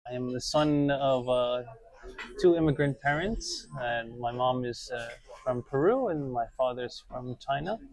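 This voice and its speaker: A man in his thirties from Queens, New York, answering interview questions in a relaxed way, with a few "uh" fillers. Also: mid-range voice, measured pace. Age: 30s. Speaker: restaurant co-owner.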